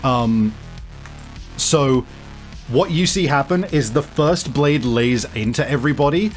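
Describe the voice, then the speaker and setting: Male game master, halting, over faint music. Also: mid-range voice, rough recording. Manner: halting, thinking aloud mid-description. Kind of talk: improvised tabletop game narration and casual rules talk.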